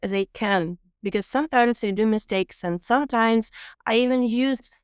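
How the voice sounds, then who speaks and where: A woman's voice: fairly high pitch, moderate pace, clean tone, no room sound, clean studio sound. A woman with a Ukrainian accent, a non-native English speaker hosting a podcast interview, explaining tips earnestly and laughing easily. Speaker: podcast host.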